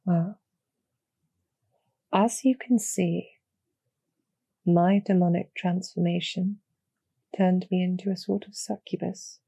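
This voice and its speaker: A woman voicing a calm, knowing succubus demoness in an intimate audio roleplay, speaking in a measured, softly persuasive way with a low, breathy, gravelly voice. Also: dry recording, full character voice. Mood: knowing, gentle. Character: calm, knowing demoness succubus archer, former hero, loyal to her queen.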